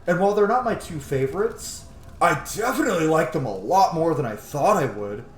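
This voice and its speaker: Guy doing a lets play, chatty n sweary, thinks out loud, super expressive pitch, roomy echo. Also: fairly quick. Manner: informal, sweary, thinking aloud. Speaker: gaming video host. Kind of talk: casual let's play commentary. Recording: echoey room with faint background noise.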